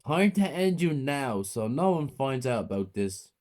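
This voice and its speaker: A man's voice: mid-range voice, very animated, unhurried, a little mellow, voice pushed up. Villain: man voicing a cruel mother, menacing, gloating, swooping intonation. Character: cruel murderous mother, cold and taunting.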